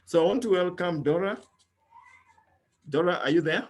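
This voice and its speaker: A man's voice: high voice, moderate pace. A man hosts an online business talk in a persuasive, motivational tone. He keeps checking for agreement as he speaks over a video call. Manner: persuasive, calls for agreement with "yeah?". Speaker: host.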